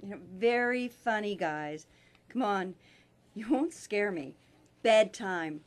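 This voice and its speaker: A woman's voice: medium pitch, expressive, slow pace. A woman playing a young student babysitter in an amateur radio play. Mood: firm, uneasy. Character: young female student babysitter, coaxing then terrified.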